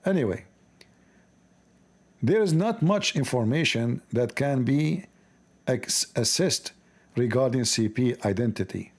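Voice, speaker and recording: A man with an Arabic accent reading aloud haltingly and breaking off for sarcastic, joking commentary. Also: mid-range voice, animated, husky. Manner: halting, stumbling reading of English.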